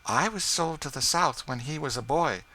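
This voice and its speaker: Man voicing a high, eager, refined woman. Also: moderate pace, pushed and bright. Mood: earnest. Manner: eager, earnest, urgent. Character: proud emotional refined woman, eager for news of lost brother. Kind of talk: audiobook character dialogue.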